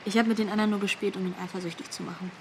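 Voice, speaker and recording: Woman as hurt, scornful young ex-girlfriend, cutting, mid pitch, faint rainy ambience. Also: steady tone, racing, a little hiss or hum, lightly acted. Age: young adult. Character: wounded, scornful teenage ex-girlfriend. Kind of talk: naturalistic radio drama, interview testimony.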